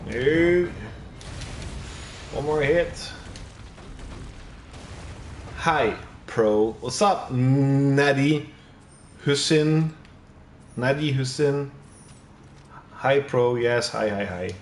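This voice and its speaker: A man's voice: medium pitch, drawn-out words, pauses often, echoey room, slight background noise. A young Dutch man with a Dutch accent, casually commentating a game and chatting with viewers, swearing now and then. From Netherlands. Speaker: gaming streamer. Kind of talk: casual live commentary while playing.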